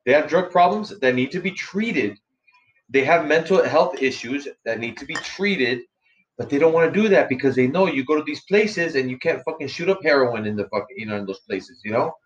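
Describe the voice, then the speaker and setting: A man's voice: fairly high pitch, lively intonation, brisk, a little hiss or hum. A man in his forties, a podcast co-host talking bluntly with heavy swearing, calling his friend bro. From Los Angeles area. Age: 40s. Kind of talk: casual chat. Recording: dull lo-fi sound.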